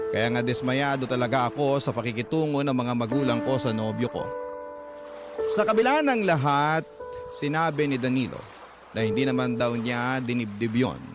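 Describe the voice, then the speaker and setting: Male narrator for radio drama: heartfelt first-person letter reading over prominent music. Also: medium pitch.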